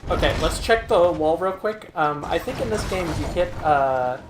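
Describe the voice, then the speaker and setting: A man's voice: fairly high pitch, animated, moderate pace. A man hosting a let's play, chatting casually and rambling through his thoughts with lots of fillers. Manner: rambling, many fillers and restarts. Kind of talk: casual gaming chat. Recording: roomy mic with audible noise.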